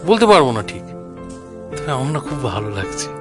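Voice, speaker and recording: Man acting a sheepish, lively flirtatious suitor. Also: mid-range voice, a little fast, over music, natural voice. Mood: quietly delighted. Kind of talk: audio drama romantic comedy dialogue.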